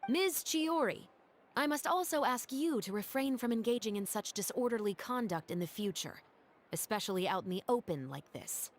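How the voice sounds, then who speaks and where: Stern young female investigator played by an actress, game character, lively intonation, formal, reproachful, noisy ambience. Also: a little high, normal speed, lightly acted. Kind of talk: video game voice acting.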